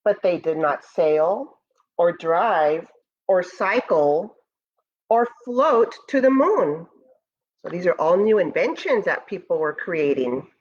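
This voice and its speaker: A woman's voice: lowish voice, animated, slow pace, darkish tone, muffled recording. An American woman, a school educator, reading a picture book aloud to children expressively and speaking to them directly. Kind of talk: children's read-aloud with asides to pupils.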